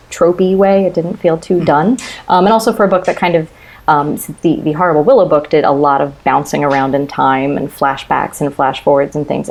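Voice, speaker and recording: A woman's voice: low voice, creaky, a little mellow, a little hiss or hum. A woman as a podcast guest, casually and thoughtfully discussing books with tentative phrasing. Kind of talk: casual chat, book recommendation.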